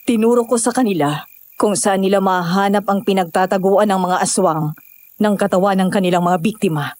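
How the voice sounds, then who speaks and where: A woman's voice: medium pitch, brisk, a little mellow, no echo, lightly acted. A woman playing a knowing, solemn villager who explains and cautions in a horror audio drama. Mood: solemn, explaining.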